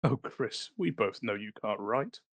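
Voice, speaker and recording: A man co-hosting a casual writing podcast, telling rambling anecdotes with many pauses and fillers. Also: on the low side, expressive, dry recording. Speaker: podcast co-host.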